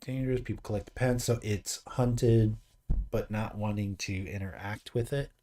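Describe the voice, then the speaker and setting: A man's voice: fairly low pitch, fairly even intonation, normal speed, mellow. A man in his late forties co-hosting a live stream, chatting casually and reading viewer comments aloud in a rambling, joking way. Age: 40s. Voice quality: graying beard mentioned, easygoing. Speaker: co-host of a live miniature painting stream.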